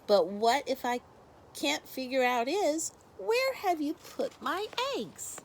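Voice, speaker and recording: A woman's voice: high voice, very animated, slow, voice pushed up, heavily acted. A friendly mother hen from a children's storybook, played by a woman, speaks expressively and exclaims to her friend. The voice is a little raised, with faint noise behind it. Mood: grateful, puzzled.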